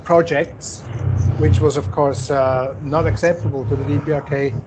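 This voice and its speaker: A man answers interview questions in English, pausing often with fillers and restarts. His voice sits a little higher than most men's. He speaks in a measured, careful way. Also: fairly even intonation, noisy background. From German-speaking country. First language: German. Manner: hesitant, many fillers, measured. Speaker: guest.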